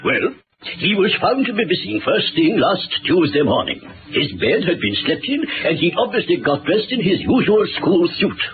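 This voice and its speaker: Pompous, fretful British headmaster, wildly animated. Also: male voice, high voice, moderate pace, narrow, tinny audio, music in the background. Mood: anxious, formal. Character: large, pompous, distressed academic headmaster. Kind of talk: 1960s British radio drama acting. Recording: noisy, phone-thin vintage radio recording.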